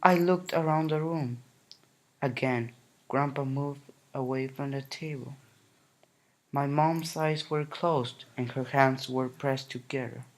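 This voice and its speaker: A man reading a children's picture book aloud in a gentle, unhurried way. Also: medium pitch, fairly even intonation, poor audio. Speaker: narrator. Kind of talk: storybook reading.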